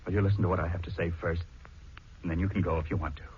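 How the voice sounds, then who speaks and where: An actor playing a blunt, emphatic middle-aged husband in a 1940s radio drama. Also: male voice, deep voice, little pitch movement, rapid-fire, a little hiss or hum, natural voice. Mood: firm, resolute. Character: plain-spoken middle-aged husband, forceful, protective of his wife. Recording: dull 1940s radio recording.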